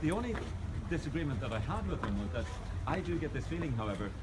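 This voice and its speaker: A man's voice: fairly high pitch, sing-song, fast. Man, Northern Irish accent, middle-aged, tour guide, chatty storyteller, outdoors, street noise behind. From Northern Ireland. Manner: chatty storyteller, jokes with the group. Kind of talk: guided city walking tour.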